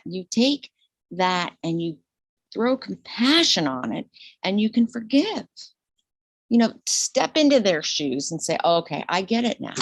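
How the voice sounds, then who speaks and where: Animated woman in her sixties. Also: vocal fry, no room sound. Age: 60s. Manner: animated, laughing, repeats herself, voice leaps high when excited. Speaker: guest, retired nurse. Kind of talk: casual interview conversation.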